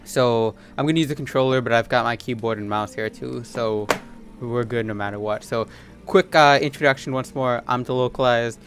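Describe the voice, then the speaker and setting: A man's voice: medium pitch, moderate pace. Casual man rambling over game music. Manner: rambling, thinking out loud. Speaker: gaming video host. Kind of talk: casual let's play commentary.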